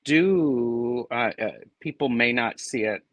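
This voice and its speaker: A man's voice: medium pitch, slow pace, fairly bright tone, no room sound. A man joining a live tarot stream as a guest reader, talking in a hesitant, reflective way with lots of ums. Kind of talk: casual live-stream chat.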